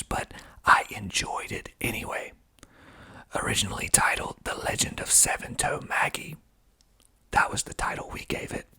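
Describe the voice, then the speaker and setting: Man whispering slowly, breathy ASMR. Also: mid-range voice, bright, forceful tone. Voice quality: breathy, mostly unvoiced whisper. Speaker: filmmaker and actor hosting an ASMR video. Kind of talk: whispered ASMR reading with commentary.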